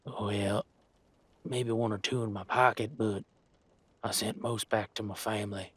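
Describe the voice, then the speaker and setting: A man voicing a captive pirate corsair in an audio drama, wary and halting, with a husky voice. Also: fairly low pitch, steady tone, fairly bright tone, no room sound. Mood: guarded. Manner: halting, nervous, bargaining. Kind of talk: villain roleplay audio drama.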